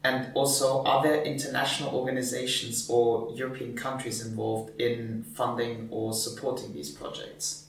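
A man's voice: medium pitch, little pitch movement, moderate pace, recorded from a distance. A male interviewer asking questions attentively, often murmuring agreement. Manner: attentive, with frequent back-channel murmurs. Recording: echoey room, off mic.

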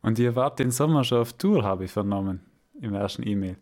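A man's voice: fairly low pitch, lively intonation, a little fast, darkish tone. A man from Vorarlberg hosting a radio show, asking questions in a hesitant, friendly way with light laughs. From Vorarlberg, Austria. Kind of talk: casual interview.

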